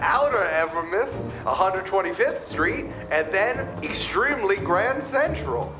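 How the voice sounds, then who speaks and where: A man's voice: high-pitched, lively intonation, unhurried, reverberant, over music, pitched above the performer's own voice. A man voicing a ghostly commuter train announcer calling out stops in a tabletop game, with faint noise behind. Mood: routine announcing.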